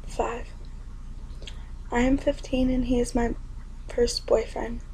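A young woman performing spoken word poetry in an intimate, often whispered delivery with a gravelly edge. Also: a little high, flat delivery, slow, mellow, slight background noise, rough recording.

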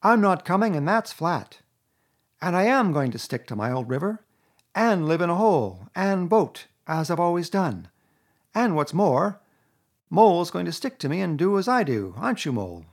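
Level-headed storybook rat, doggedly firm, as a man plays him, lively lilt. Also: high-pitched, normal speed, pitched above the performer's own voice. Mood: stubborn. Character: sensible, skeptical male water rat. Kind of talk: storybook reading.

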